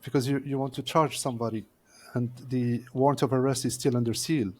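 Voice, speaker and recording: A man's voice: mid-range voice, steady tone, darkish tone. Male guest, mid-range voice, patient and explanatory, hesitant fillers. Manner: measured, explaining step by step with frequent "uh" pauses. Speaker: court interpreter, guest. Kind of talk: explanatory interview answers.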